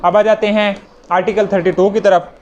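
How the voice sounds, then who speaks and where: A man's voice: high voice, flat delivery, normal speed, highs cut off. A man with a Pakistani accent giving an explanatory law lecture, repeating and rephrasing points with worked examples for students. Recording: noticeable background noise.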